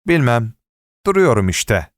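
A man's voice: mid-range voice, big pitch swings, a little slow, no room sound, studio quality. Man voicing a meek, hesitant, aimless fellow. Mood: resigned. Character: meek, aimless, passive man, easily led. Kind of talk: audiobook character dialogue.

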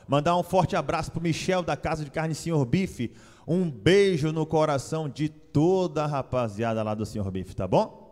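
A young man appearing as a guest singer on a TV show, speaking excitedly through a handheld mic and sending shout-outs. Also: a little high, moderate pace, long reverb tail. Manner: hype, shouts out to friends and sponsors. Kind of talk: excited TV chat and singing. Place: TV studio with live band. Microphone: handheld microphone. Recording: studio with clear music behind.

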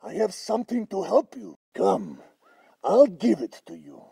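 An actor playing a brutal, threatening Russian soldier in a shooter game, with a Russian accent. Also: male voice, sits high, wildly swooping pitch, unhurried, creaky, mellow, husky, dry recording, clean studio sound, exaggerated voice. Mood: menacing coaxing. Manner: threatening, taunting. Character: brutal, menacing Russian soldier hunting a child. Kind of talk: combat voice lines for a shooter game.

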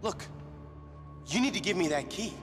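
A man's voice: high voice, expressive, moderate pace, a bit bright. An actor playing an earnest, uneasy young man, a reluctant video game hero who speaks hesitantly. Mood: urgent, insistent. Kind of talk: video game cutscene acting. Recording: echoey hall with music behind.

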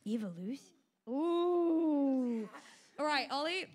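A woman moderating a live convention panel, bubbly and quick to react, calling on guests and audience. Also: high-pitched, animated, darkish tone.

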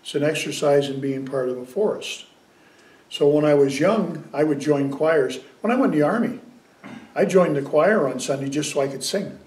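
An older man giving a dharma talk as a Zen teacher, conversational and gently humorous. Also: on the high side, normal speed. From United States. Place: small meditation room indoors. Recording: roomy, distant sound.

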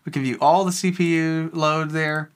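A tech video host giving a casual, rambling walkthrough of a software tool, thinking aloud while clicking. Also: medium pitch, fairly even intonation, moderate pace, clean tone.

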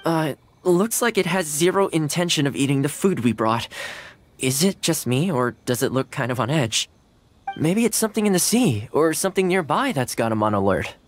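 A man's voice: fairly high pitch, lively intonation, moderate pace, a little hiss or hum, close to an everyday voice. An actor playing a friendly, caring, patient young man in a video game, with a casual, coaxing manner. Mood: thoughtful. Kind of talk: video game character dialogue. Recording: music under the voice.

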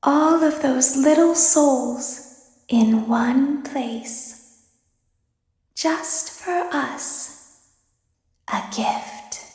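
A vengeful robotic clown girl taunts in a horror game. The delivery is theatrical and extremely slow, in a high voice that echoes through long reverb. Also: darkish tone. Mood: cold taunting. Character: sinister female animatronic clown girl, vengeful, devoted daughter. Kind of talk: video game villain monologue.

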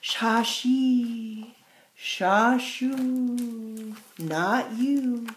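A woman voicing a bitter, forbidding winter wind in a hushed, slow, whooshing storybook manner. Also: on the high side, voice pushed up. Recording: roomy, echoing space.

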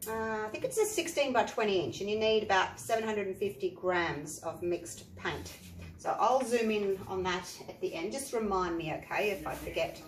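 An Australian woman, an art teacher, chatting step by step through a painting tutorial with a croaky voice from the flu. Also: mid-range voice, animated, moderate pace. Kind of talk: tutorial narration. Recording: echoey room, faint noise.

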